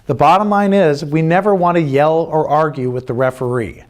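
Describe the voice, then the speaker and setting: A man's voice: on the high side, fairly even intonation, moderate pace. A middle-aged American man giving an earnest, plain-spoken instructional presentation as a youth sports coach, stressing and repeating his key points. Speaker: youth lacrosse coach and club representative. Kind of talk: instructional presentation of club rules.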